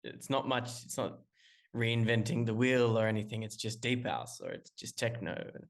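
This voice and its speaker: Male musician guest on a call, rambling and hesitant, mid-pitched everyday voice. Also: normal speed, vocal fry. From Australia. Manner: rambling, hesitant, many restarts and fillers. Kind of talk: casual interview talk. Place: calling in remotely.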